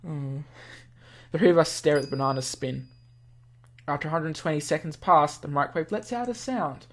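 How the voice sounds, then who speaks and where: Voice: medium pitch, fairly quick. A game player giving playful let's play commentary and expressively reading the on-screen script aloud. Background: game music.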